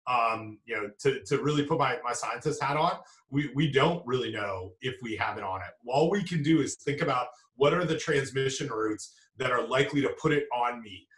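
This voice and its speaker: A man in his forties answering audience questions as a food safety expert on a video call from a home office, halting and restarting often. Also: medium pitch, a bit bright. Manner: halting, many restarts and fillers. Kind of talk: live webinar Q&A. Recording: roomy video call audio, distant mic.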